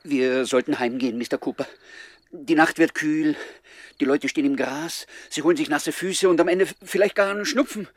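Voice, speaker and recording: Man playing a timid, higher-voiced polite petty crook. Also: normal speed, lightly acted. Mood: fretful. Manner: hesitant, stammering, deferential. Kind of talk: 1960s German radio play acting.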